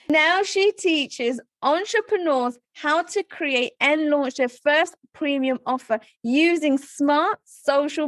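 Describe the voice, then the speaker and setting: Woman podcast host, high voice, upbeat, emphatic, dry sound. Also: no echo. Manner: upbeat, emphatic, quick asides. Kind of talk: podcast interview.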